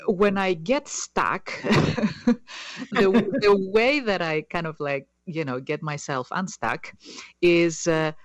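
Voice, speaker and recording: A woman's voice: on the low side, takes it slowly, dry recording. Hesitant woman, dry sound. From Greece. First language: Greek. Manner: hesitant, many fillers and restarts. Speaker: guest. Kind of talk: casual interview conversation.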